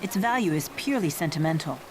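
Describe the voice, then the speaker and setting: A woman's voice: sits low, expressive, fast, noisy background. A woman playing a dignified, earnest spirit lord in a video game, composed, formal and matter-of-fact. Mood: wistful, composed.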